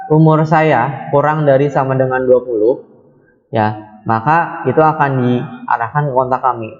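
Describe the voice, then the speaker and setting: A man's voice: mid-range voice, dull audio. A man giving a coding tutorial, talking unhurriedly with many fillers and self-corrections. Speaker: programming tutor. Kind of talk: screen-recorded coding tutorial.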